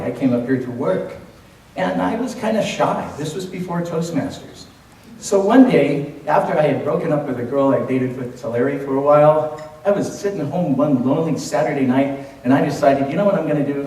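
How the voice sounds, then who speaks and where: A man of fifty with a Californian American accent tells a humorous story as a contest speech, theatrically, with dramatic pauses and emphasis. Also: mid-range voice, expressive, warm tone. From Los Angeles, United States. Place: indoor room at a speech contest, audience present. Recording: echoey room from far off, faint noise.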